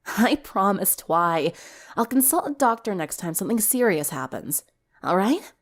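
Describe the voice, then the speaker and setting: A woman's voice: medium pitch, big pitch swings, unhurried. A woman voicing a stubborn, nonchalant teenage schoolgirl turning vampire in a story reading. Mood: defensive. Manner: nonchalant, brushing off worries.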